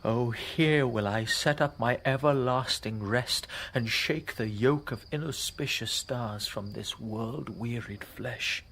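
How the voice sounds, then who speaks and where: An actor playing a grieving young lover bidding farewell to life, in slow, solemn classical radio drama style. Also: male voice, medium pitch, fairly bright tone, slight background noise. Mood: solemn grief. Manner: slow, solemn, breathy pauses between phrases.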